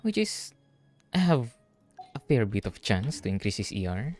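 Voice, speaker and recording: A man's voice: medium pitch, sing-song, normal speed, a little mellow. A man from the Philippines with a Filipino accent, thinking aloud in casual livestream game commentary. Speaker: streamer. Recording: game music behind the voice. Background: video game music.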